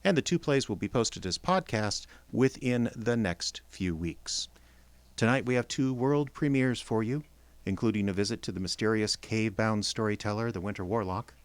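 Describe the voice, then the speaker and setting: A man hosting a radio theater show, announcing from a script with theatrical, deliberate phrasing. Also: fairly low pitch, no room sound. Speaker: announcer and host.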